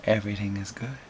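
Whispering Irish man, very slow. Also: lowish voice, slight background noise. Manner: soft whispering, frequent gentle chuckles. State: slightly hoarse. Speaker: ASMR roleplayer. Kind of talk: ASMR boyfriend roleplay.

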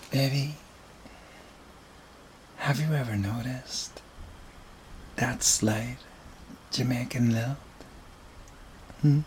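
A slightly hoarse man with an Irish accent, whispering softly with gentle chuckles in an ASMR boyfriend roleplay. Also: mid-range voice, very slow, pauses often, a little hiss or hum.

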